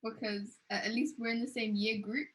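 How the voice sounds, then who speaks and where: A woman's voice: on the high side, fairly even intonation, brisk, fairly bright tone, husky. An eighteen-year-old woman co-hosting a podcast chat, answering briefly and matter-of-factly. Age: young adult. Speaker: podcast co-host. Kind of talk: casual chat.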